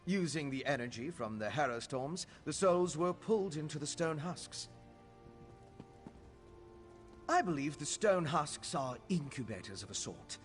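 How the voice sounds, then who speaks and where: A man's voice: a little high, big pitch swings, normal speed, hardly any pauses, faint music behind. An actor playing an earnest, scholarly male alchemist mage in a video game, explaining things in a learned, formal way. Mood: scholarly, assured.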